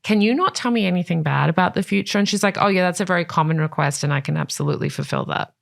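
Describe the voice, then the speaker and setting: A woman co-host sharing a personal experience on a podcast. Her voice is low and gravelly with fry, and she reflects on it frankly with a touch of self-deprecation. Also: racing. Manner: candid, reflective storytelling. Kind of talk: casual podcast chat.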